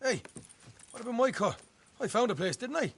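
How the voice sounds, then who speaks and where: A man's voice: very high-pitched, expressive, a little fast, slight background noise. A man playing a cocky, eager young male outlaw in a video game, brash and boastful in manner. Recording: loud music behind the voice.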